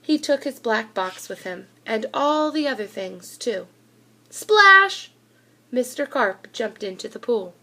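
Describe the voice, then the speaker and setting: A woman reading a children's storybook aloud in an expressive narrating style. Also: a little high, takes it slowly.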